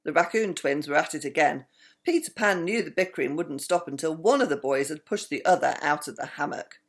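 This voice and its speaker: An older woman, a grandmother, reading a bedtime story in an animated, affectionate way. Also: deep voice, a little fast.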